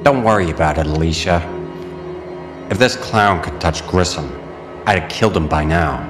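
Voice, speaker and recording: A man's voice: deep voice, moderate pace, close to an everyday voice. A man playing an arrogant gangster in an audio drama, smug and dismissive. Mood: smug menace. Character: arrogant, cool male gangster talking to his lover. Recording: roomy echo, music underneath.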